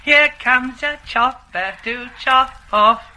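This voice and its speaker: High, slow, mocking sinister man. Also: pushed and bright, exaggerated voice. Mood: gloating menace. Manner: slow, mocking, sing-song. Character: sinister male shopkeeper revealed as gloating secret policeman. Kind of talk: old radio drama acting. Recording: noisy old radio recording with clicks.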